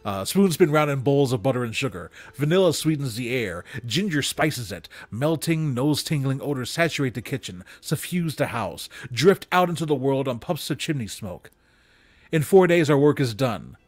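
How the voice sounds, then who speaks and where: A man with a medium-pitched voice reads a literary story aloud. His phrasing is dramatic and deliberate, recorded close to the microphone in a dry indoor room. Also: very animated, no echo. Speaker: story reader. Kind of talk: expressive literary story reading. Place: small room with bookshelves, indoors. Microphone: close studio microphone on desk.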